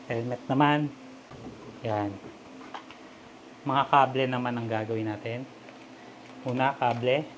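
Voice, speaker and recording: Filipino man, casual tutorial over clear noise. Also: mid-range voice, fairly even intonation, takes it slowly, long pauses, dull audio. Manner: informal, talking along while working with his hands. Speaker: vlogger giving a DIY demonstration. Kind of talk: casual step-by-step tutorial talk. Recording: clear background noise, ambient sound.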